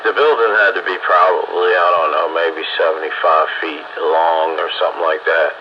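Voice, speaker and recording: A man's voice: low voice, steady tone, normal speed. Older American man on noisy two-way radio. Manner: rambling, reminiscing. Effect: two-way radio transmission. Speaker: radio hobbyist. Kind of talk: casual reminiscing over CB radio. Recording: noisy, dull lo-fi radio transmission.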